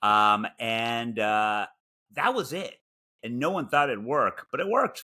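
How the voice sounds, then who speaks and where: A man's voice: medium pitch, expressive, takes it slowly, pushed and bright. A man with a Chicago accent and a nasal voice, co-hosting a podcast in dry, self-mocking, rambling banter. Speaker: podcast co-host. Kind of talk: casual banter.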